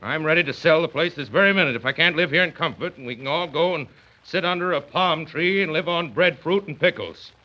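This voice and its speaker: A man's voice: a little high, brisk, sharp tone. An actor playing a stubborn, blustery middle-aged father in a 1930s radio comedy, speaking in emphatic, impatient exclamations. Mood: impatient, imperious. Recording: dull vintage 1930s radio broadcast.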